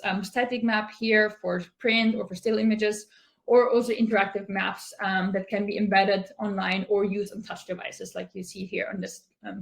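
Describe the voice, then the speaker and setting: Austrian woman presenting with many fillers. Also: mid-range voice. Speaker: conference speaker. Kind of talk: online meetup presentation. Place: home office, remote.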